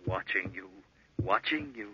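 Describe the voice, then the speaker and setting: Sinister low inner voice, noisy old radio. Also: male voice, expressive, measured pace. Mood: sinister, taunting. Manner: insistent, repetitive, taunting. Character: sinister low voice of conscience haunting a murderer. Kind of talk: 1940s radio horror acting. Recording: dull noisy old radio recording with clicks.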